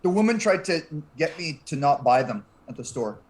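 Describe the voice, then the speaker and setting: A man's voice: fairly high pitch, very animated, moderate pace. A man talking casually as a guest on a panel show. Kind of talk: casual chat.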